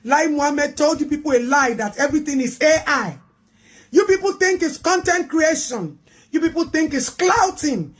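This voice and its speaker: A woman with a Nigerian accent making an impassioned public address, with deliberate pauses and emphatic repetition. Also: sits high, lively intonation.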